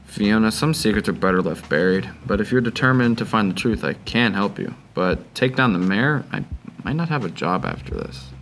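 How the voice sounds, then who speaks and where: A man's voice: fairly low pitch, lively intonation, moderate pace, fairly bright tone, a little hiss or hum. A man acting as a wary, world-weary police detective in an amateur radio drama, guarded and terse.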